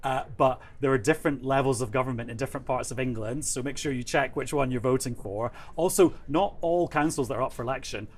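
A man presenting a live news programme, explaining the elections in a brisk, explanatory way. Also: medium pitch.

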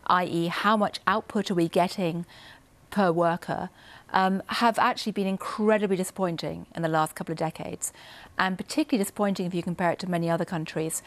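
A woman's voice: lowish voice, steady tone, fairly quick. A woman newspaper writer explaining economics fluently as a panel guest. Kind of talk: economic analysis.

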